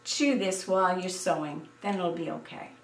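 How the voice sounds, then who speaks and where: A woman's voice: on the low side, steady tone, normal speed, lightly acted. Woman acting out an emphatic superstitious mother, roomy sound. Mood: alarmed. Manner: exclamatory, emphatic warnings. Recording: roomy, distant microphone.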